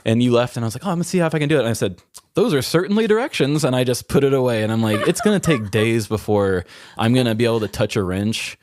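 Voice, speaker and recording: A man's voice: mid-range voice, animated, fast, a little mellow, no echo, studio quality. A man hosting a podcast, talking in a candid, reflective and self-deprecating way. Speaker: podcast host. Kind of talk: candid personal conversation.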